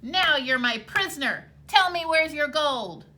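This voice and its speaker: A woman's voice: high-pitched, lively intonation, unhurried, sharp tone, voice pushed up, exaggerated voice. A woman voicing a bold, clever young male farmer in a children's storybook read-aloud. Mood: demanding.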